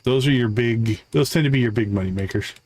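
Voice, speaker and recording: A man's voice: mid-range voice, fairly quick, a little mellow, slight background noise. A man giving casual live gameplay commentary, easygoing and explanatory as he chats with his viewers. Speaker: live game streamer.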